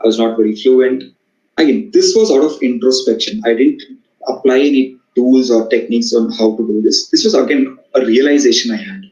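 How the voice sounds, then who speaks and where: A man's voice: mid-range voice, brisk, darkish tone. South Indian English accent, man, motivational speaker, earnest, repeats himself, many fillers, roomy echo, slightly dull sound. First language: Tamil. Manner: earnest, repetitive, frequent fillers and restarts. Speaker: guest speaker. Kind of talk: motivational talk on communication. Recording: echoey room, dull sound.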